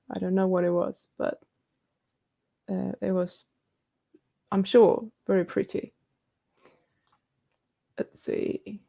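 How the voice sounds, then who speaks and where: A woman hosts a live question-and-answer stream, reading out viewer comments and answering them in an easygoing, chatty way. Her voice is mellow and dark in tone, with a gravelly fry running through it. She talks at an ordinary pitch, as if into a computer mic. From New Zealand. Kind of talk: casual live Q&A chat.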